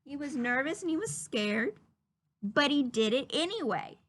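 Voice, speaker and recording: Female storybook reader for kids: very lively intonation, unhurried, explains things simply. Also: high voice. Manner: animated, simple explanatory asides to young listeners. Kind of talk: expressive read-aloud narration for children.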